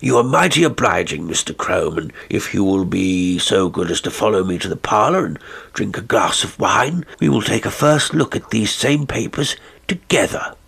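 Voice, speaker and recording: A man reading the part of an impatient country squire who gives brisk, curt orders. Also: fairly low pitch, expressive, creaky, fairly bright tone, husky, full character voice. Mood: courteous.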